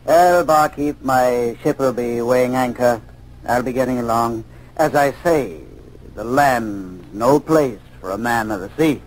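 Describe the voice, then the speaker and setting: An actor in a 1940s radio drama playing a mysterious old sea captain who tells his grim tale in a measured, cryptic way. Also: mid-range voice, slight background noise, close to an everyday voice, rough recording. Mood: grimly knowing.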